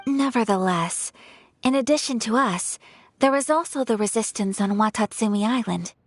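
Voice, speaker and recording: A composed young noblewoman in a game, pitched a little high with a soft breathy edge. She speaks formally and courteously, every phrase measured. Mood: calm formal. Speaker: noble of a ceremonial commission. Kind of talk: video game voice acting.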